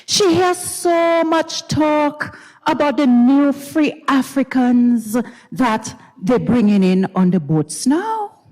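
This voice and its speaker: A woman with a Grenadian accent giving a dramatized story reading, expressive and gossipy, with musing hums and pauses. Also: high voice. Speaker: dramatic reader. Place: university recital hall stage. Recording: reverberant recital hall.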